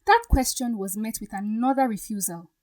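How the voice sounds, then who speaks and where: A woman acts a defiant young bride-to-be in a radio drama. Her voice is high, with a firm, pointed manner that carries wounded pride. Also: moderate pace, a little mellow, lightly acted. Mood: hurt, bitter.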